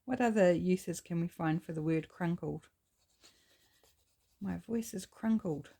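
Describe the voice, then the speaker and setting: A woman's voice: fairly low pitch, measured pace. A woman with a New Zealand accent and a scratchy voice, chatting casually while she works on a craft project. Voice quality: gravelly, scratchy. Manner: chatty, relaxed, thinking aloud. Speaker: craft channel host.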